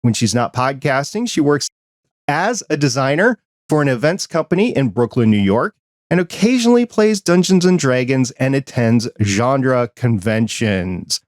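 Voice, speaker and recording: A man's voice: mid-range voice, expressive, normal speed, no room sound, studio quality. A man hosting a hobby podcast, chatting in an enthusiastic, conversational way. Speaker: podcast host. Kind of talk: casual podcast chat and news roundup.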